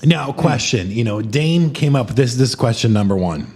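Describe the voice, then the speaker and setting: A man's voice: mid-range voice, expressive, normal speed, darkish tone. A man hosting a casual podcast interview, informal and emphatic, chiming in with agreement and questions. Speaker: podcast host.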